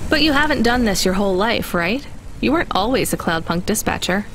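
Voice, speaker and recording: An actress playing a young, plain-spoken and guarded delivery driver in a video game. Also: female voice, medium pitch, expressive, fairly quick, fairly bright tone, a little hiss or hum. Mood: curious. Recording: music behind the voice.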